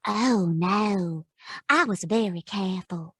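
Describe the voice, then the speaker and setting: An actress playing a friendly, gossipy woman informant with a husky voice in a detective audio drama. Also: medium pitch, slow, no echo, studio quality. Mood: eager, gossipy.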